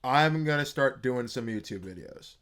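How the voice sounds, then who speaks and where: A man co-hosting a casual podcast, an excitable, joking fan who talks with a lot of enthusiasm. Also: medium pitch, normal speed, clean tone. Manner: excitable, jokey fan talk. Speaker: podcast co-host. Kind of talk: casual chat.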